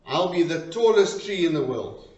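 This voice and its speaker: Proud, dreaming storybook tree, played by a man, earnest and animated, heard from a distance. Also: on the high side, moderate pace. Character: proud, hopeful, dreaming young talking tree. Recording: roomy, distant, dull lo-fi sound.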